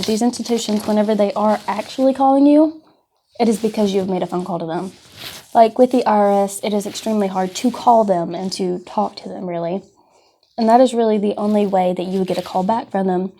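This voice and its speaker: A woman's voice: medium pitch, dark tone. A woman giving an informal instructional talk in a conversational, explanatory, cautionary manner. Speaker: presenter of a scam awareness class.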